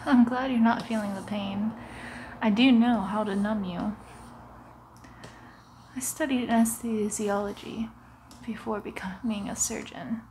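A young woman playing a sinister, coaxing vampire who poses as a doctor, in a hushed, often whispered, muffled ASMR roleplay. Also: medium pitch, steady tone, a little hiss or hum, lightly acted. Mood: eerily delighted. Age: young adult. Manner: slow, hushed, often whispering, soothing, deliberate. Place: living room, lying on a sofa.

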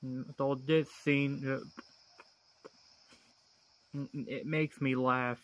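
A man gives a casual, unscripted showcase of a game in a monotone voice. He talks very slowly and hesitantly, breaking his sentences into small pieces with long gaps. It sounds like an amateur handheld recording. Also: mid-range voice, highs cut off, slight background noise. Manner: hesitant, fragmented, long pauses between phrases. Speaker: amateur video host showing a handheld game.

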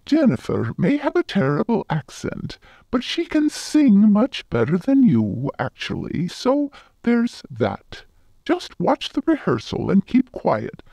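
A man acting a patiently chiding school drama teacher in a radio play, with drawn-out, emphatic delivery. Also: sits high, huge pitch swings, vocal fry, dark tone, no echo, heavily acted.

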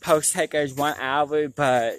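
Slow-talking higher-voiced young man vlogging outdoors. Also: steady tone. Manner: addresses viewers casually as y'all. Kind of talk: casual outdoor vlog chat. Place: outdoors on a nature trail. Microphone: small clip-on lapel mic.

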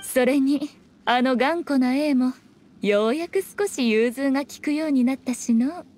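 A woman's voice: high-pitched, unhurried, darkish tone. A woman voicing a sly, elegant fox shrine maiden in an anime game, speaking in an archaic, playfully haughty way. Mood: fond, amused. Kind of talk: anime video game voice acting.

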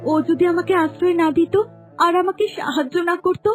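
Woman as young princess, gentle, earnest, soft-hearted, cartoon fairy-tale acting, light music behind. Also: extremely high pitch, fairly even intonation, a little fast. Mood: earnest, longing. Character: gentle young princess in hiding, devoted to her parents.

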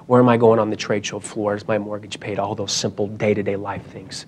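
A man's voice: on the low side, little pitch movement, brisk, darkish tone, slight background noise. A young man giving an emphatic, urgent motivational talk at a conference, speaking into a handheld mic in a hall.

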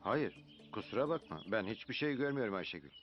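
A man's voice: fairly low pitch, big pitch swings, fast, highs cut off, loud music behind the voice. A man voicing a patient but firm father in a children's cartoon dub. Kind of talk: Turkish-dubbed children's cartoon acting.

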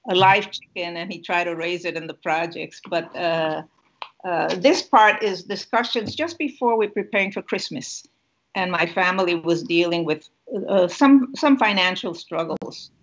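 Older puerto rican lady author, unhurried, muffled laptop mic at home. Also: on the low side, fairly bright tone. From Puerto Rico. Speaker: author and guest. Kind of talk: conversational interview and book reading. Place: home room with a lamp. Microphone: laptop webcam video call. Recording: muffled video call audio.

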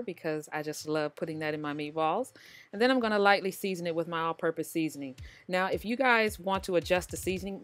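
Casting: female cooking host, lowish voice, chatty informal recipe voice-over, light music bed. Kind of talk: casual recipe tutorial narration. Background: background music.

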